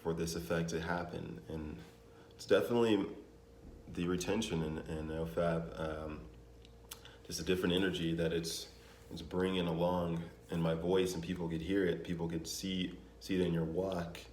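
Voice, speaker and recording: A young man with a naturally deep voice, talking casually to his vlog audience in a relaxed, rambling way with many fillers. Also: moderate pace, a little hiss or hum. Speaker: vlogger. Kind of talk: casual vlog talk to camera. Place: home in Denver. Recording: echoey room with faint ambience.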